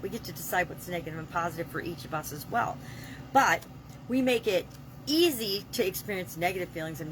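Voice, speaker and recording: Over clear, vehicle-like background noise, a woman speaks in a slightly low voice. She talks off the cuff like a motivational coach, stressing her opinions and repeating phrases to drive them home. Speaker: vlog host and business coach. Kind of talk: unscripted motivational vlog talk.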